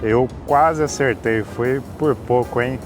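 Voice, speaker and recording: A man's voice: mid-range voice, a little slow, music in the background. A man narrating his travel vlog in a casual, chatty way. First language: Portuguese. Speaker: travel vlogger.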